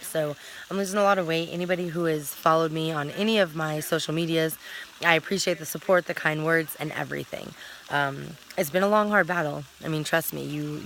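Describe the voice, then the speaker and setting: Chatty woman in her thirties, bright voice. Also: deep voice, gravelly, slight background noise, low-quality recording. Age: 30s. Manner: rambling, talkative, self-correcting. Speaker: vlogger. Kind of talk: casual chatty vlog. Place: outdoors at a campsite.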